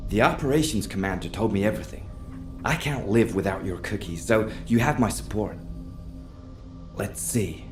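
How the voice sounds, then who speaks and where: A man's voice: lowish voice, normal speed, roomy sound, over music, natural voice. An actor playing a helpful, earnest male engineer in a video game, explaining things in a friendly way. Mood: eager. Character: helpful, earnest male tech engineer, easily tempted by cookies.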